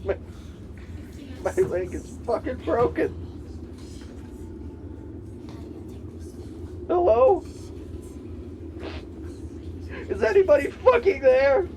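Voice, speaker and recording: An actor playing a podcaster lost in the wilderness in an audio drama, narrating haltingly to his recorder as he grows frightened and paranoid. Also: extremely high pitch, huge pitch swings, a little mellow, slight background noise, full character voice, poor audio. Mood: panicked. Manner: halting self-narration, swearing. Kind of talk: found-tape audio drama monologue.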